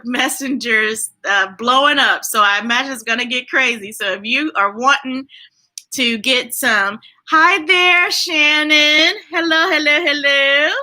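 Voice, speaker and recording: Bright, high-voiced woman hosting children's storytime. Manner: informal, folksy, enthusiastic. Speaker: children's storytime host and reader. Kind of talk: reading picture books aloud with chatty asides to online viewers.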